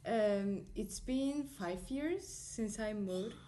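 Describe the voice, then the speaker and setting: A woman's voice: mid-range voice, extremely slow, dark tone, a little hiss or hum. A woman in her twenties from Turkey, with a Turkish accent, talking as an interview guest, friendly and full of "like" fillers. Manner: friendly, uses many "like" fillers. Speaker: guest, college alumna. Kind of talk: casual interview.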